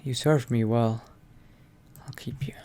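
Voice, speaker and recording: Slow-talking man murmuring through a roleplay. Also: mid-range voice, steady tone, mellow. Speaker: player roleplaying a vampire Dark Elf character. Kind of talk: in-character roleplay narration of a video game.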